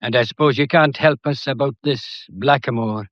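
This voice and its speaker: An actor playing a genial, fatherly Scottish police inspector who questions courteously and gently in a mystery audio drama. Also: medium pitch, moderate pace, no room sound, lo-fi sound, natural voice. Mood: measured, probing.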